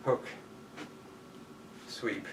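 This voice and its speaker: A man teaching a jujitsu class online, talking through each move as he demonstrates it and calling out the steps. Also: drawn-out words. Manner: demonstrating moves while talking, counting out steps. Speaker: jujitsu instructor. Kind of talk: live online martial arts class. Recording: echoey room, distant mic, faint background noise.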